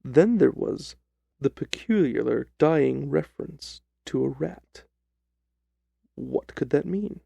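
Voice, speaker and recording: A man narrating a first-person story from a script in a measured, literary delivery. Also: on the high side, animated, gravelly, dark tone, husky, dry recording. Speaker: audiobook narrator.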